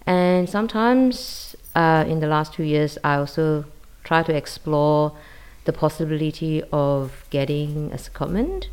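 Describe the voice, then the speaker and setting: A woman from Malaysia answering interview questions, hesitant with frequent pauses. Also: low-pitched, darkish tone, smooth. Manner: hesitant, many ums. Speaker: interview guest.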